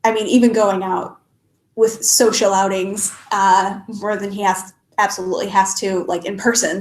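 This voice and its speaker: A woman's voice: medium pitch, a little mellow. A young adult woman, stuffed up and sniffly, chatting casually on a live stream and answering questions with many ums and restarts. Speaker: YouTuber answering live chat. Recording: echoey room.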